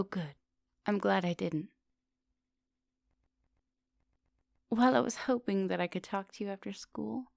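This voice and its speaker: A woman playing a coaxing, soft-dominant vampire professor in an intimate ASMR roleplay, speaking with polite, formal phrasing. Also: medium pitch, gravelly, dry recording, studio quality. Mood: friendly, coaxing. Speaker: teacher.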